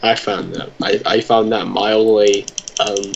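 Joking young man gaming, low voice. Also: a little slow, gravelly, muffled recording, slight background noise. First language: Welsh. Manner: jokey, self-mocking, bursts of excited exclamations. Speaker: gamer. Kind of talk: casual gameplay chat.

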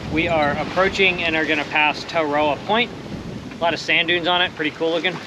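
A man in his forties vlogging casually from the deck of a small sailboat, relaxed and upbeat with loose fillers and soft laughs. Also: on the high side. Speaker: solo sailor vlogger. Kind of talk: casual vlog narration. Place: outdoors on the deck of a small sailboat at sea, wind blowing. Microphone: action camera close on the boat. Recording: windy outdoor camera audio on open water. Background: wind and water rushing past the hull.

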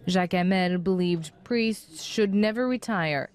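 A woman's voice: on the low side, unhurried, darkish tone. A woman reporter reading scripted narration for a television news report.

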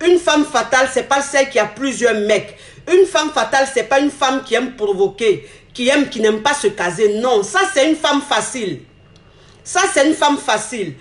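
A woman, a relationship coach speaking to her phone camera with emphatic repetition and a slightly hoarse, cold-touched voice. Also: fairly high pitch. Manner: emphatic, repeats phrases, gestures a lot while talking. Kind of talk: animated advice monologue to camera. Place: indoor room. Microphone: phone camera at arm's length. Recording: echoey room on phone.

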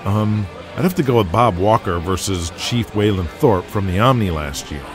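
A man's voice: lowish voice, lively intonation, moderate pace, lightly acted. A man playing a shy young wrestling fan in an audio drama. He is polite, starstruck and stammers hesitantly, with music behind.

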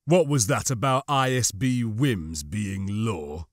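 A man's voice: lowish voice, slow, no echo, pitched below the performer's own voice. Man acting tense, defiant soldier, dry sound. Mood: angry.

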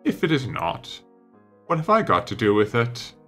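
A man's voice: medium pitch, huge pitch swings, moderate pace, bright, forceful tone, faint music behind, exaggerated voice. A man voicing a suave, nonchalant aristocrat in an audiobook, amused and assured, with a languid manner. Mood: unruffled.

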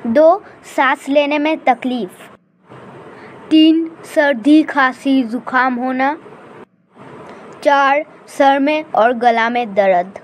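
A woman playing a formal news presenter in an animated bulletin, reading deliberately. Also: high-pitched, a little hiss or hum, rough recording. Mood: informative. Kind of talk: scripted announcement.

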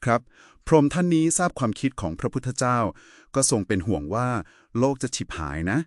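A man's voice: medium pitch, very animated, fairly quick, no room sound, clean studio sound. A man giving a polite, didactic explanation in a conversational discussion of Buddhist scripture. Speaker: explainer in a two-person discussion.

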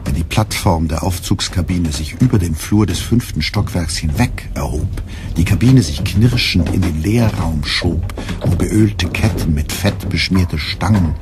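An actor narrating a literary satire in a measured way for a radio play. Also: male voice, sits low.

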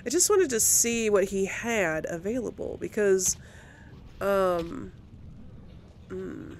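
A woman doing casual gameplay commentary. She thinks aloud chattily, with lively pitch and frequent fry, over faint background noise. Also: takes it slowly. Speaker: let's play host.

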